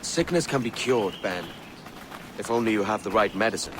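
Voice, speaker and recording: An actor voicing a brash, easygoing young pirate captain in a video game cutscene. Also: male voice, on the low side, animated, normal speed, background noise, close to an everyday voice. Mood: persuasive.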